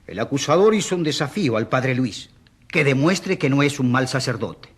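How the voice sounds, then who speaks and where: An actor playing a stubborn man from the provinces who pleads for an accused priest in a radio drama, halting and insistent. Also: mid-range voice, animated, husky. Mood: earnest, insistent.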